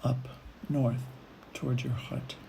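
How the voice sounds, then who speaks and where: A woman leading a guided meditation, speaking in unhurried phrases with long pauses between them. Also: a bass voice, level tone, creaky, mellow, a little hiss or hum, rough recording. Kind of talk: guided meditation, gentle imagery narration.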